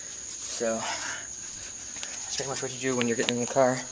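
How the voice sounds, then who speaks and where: A clearly noisy, clicky amateur recording carries an American man's voice at an average pitch. He hosts a casual hands-on demonstration, speaking informally and haltingly. He tends to think aloud as he explains each step. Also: little pitch movement. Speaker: video tutorial host.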